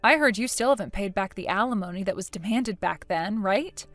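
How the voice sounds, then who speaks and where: A woman's voice: mid-range voice, animated, a little fast, fairly bright tone, dry recording, soft background music, lightly acted. A woman voicing a blunt, judgmental, scornful woman in story dialogue, smug and needling in a matter-of-fact way. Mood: accusing.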